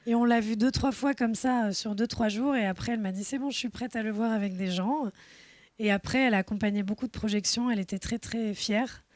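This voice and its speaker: A woman's voice: on the high side, brisk, darkish tone, no echo. A woman in her thirties, a film director from France, speaking thoughtfully at a festival panel with frequent hesitations. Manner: thoughtful, hesitant with many fillers. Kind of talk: panel discussion.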